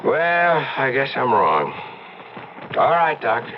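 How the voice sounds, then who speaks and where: A dull vintage radio recording features a man who tends bar and plays sleuth. He is plain-spoken and probing, with a mid-pitched voice and very lively intonation. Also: takes it slowly, noisy background. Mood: urgent, probing. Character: easygoing male bartender turned persistent amateur sleuth. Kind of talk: old-time radio drama acting.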